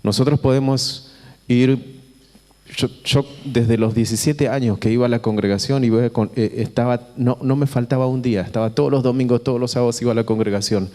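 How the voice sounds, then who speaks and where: Male visiting preacher, Argentine accent, dark soft-edged tone, informal and halting, long auditorium reverb. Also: medium pitch, steady tone. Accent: Argentine Spanish. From Buenos Aires, Argentina. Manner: conversational, halting, repeats phrases and checks in with listeners. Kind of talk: informal sermon to a congregation. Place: church auditorium. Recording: reverberant hall with long echo.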